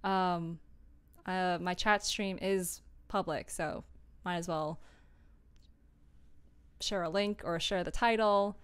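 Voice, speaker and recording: A woman's voice: fairly low pitch, steady tone, slow, pauses often, smooth. A young woman chatting casually on a work livestream, giggly and chatty, sometimes murmuring to herself as she works. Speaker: audio drama creator, live streamer. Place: small room at home, indoors.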